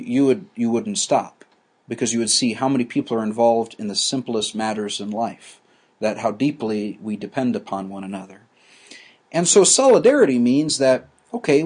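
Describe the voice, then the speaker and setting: Husky-voiced man giving a halting lecture. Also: medium pitch, a little mellow. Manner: halting, many restarts and fillers. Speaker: teacher.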